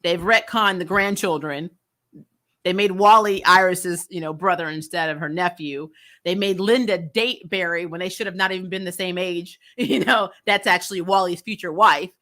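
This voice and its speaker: Bright-voiced woman venting in a casual review. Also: lowish voice, moderate pace. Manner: blunt, exasperated, sweary. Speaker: host of a TV show recap channel. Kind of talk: casual opinionated review chat.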